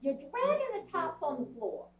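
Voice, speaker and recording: An actress playing a nagging, aggrieved middle-aged wife in old-time radio comedy style, scolding and whining with sarcasm. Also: female voice, very high-pitched, huge pitch swings, moderate pace, distant mic, heavily acted. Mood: bossy. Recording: dull, echoey old radio recording, off-mic.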